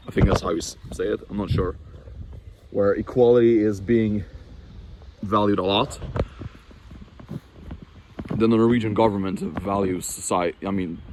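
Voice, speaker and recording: Low-voiced young man chatting casually. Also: background noise. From Norway. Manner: chatty and rambling, with frequent restarts and fillers. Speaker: vlogger. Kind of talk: casual talking-to-camera vlog.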